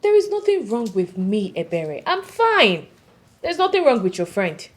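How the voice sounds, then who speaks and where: A woman's voice: mid-range voice, expressive, a little slow, darkish tone, natural voice. A woman playing a defiant, plain-spoken young village woman with a Nigerian accent in a film drama, speaking emphatically and indignantly. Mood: exasperated. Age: young adult. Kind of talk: naturalistic film drama dialogue.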